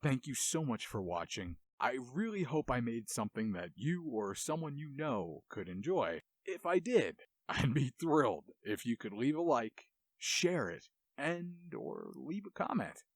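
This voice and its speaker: A man's voice: medium pitch, sing-song, measured pace, no echo. A man hosting a game music show with enthusiastic, analytical commentary and dramatic storytelling.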